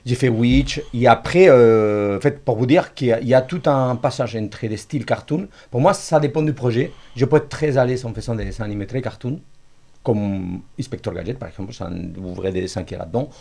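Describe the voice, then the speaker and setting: A man speaking as a guest artist in an informal interview, explaining his craft in an animated way with everyday comparisons. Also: medium pitch, fairly quick, creaky.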